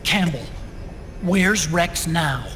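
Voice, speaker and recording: A man's voice: a little high, drawn-out words, pushed and bright, a little hiss or hum, full character voice. A man playing an anxious, earnest scientist sidekick in a video game, speaking in a pleading, troubled manner. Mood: concerned. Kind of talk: dramatic video game cutscene acting.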